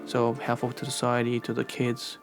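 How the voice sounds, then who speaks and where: A man's voice: medium pitch, monotone, moderate pace, music in the background. A young man from Afghanistan, a university student talking earnestly and casually in a documentary interview. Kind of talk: documentary interview and street chat.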